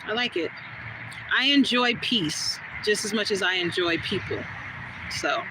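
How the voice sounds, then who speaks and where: A woman with a smooth, soothing voice, chatting casually with viewers during a live stream and answering their comments as she goes. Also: mid-range voice, measured pace. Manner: relaxed, rambling, talks back to chat comments. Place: outdoors on a quiet street. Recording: noisy outdoor phone recording.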